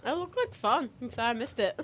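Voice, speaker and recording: A woman's voice: sits high, sing-song, brisk. A woman chatting casually as a guest, thinking aloud in a conversational way. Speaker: podcast guest. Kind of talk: casual chat. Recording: loud background noise.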